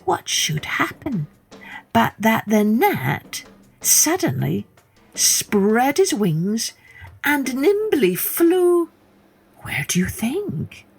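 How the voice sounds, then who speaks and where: Slow, theatrical woman storyteller. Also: a little high, wildly swooping pitch, faint music behind. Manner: theatrical, drops to breathy hushes, questions the listener. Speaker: storyteller, podcast host. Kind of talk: dramatic children's storytelling narration.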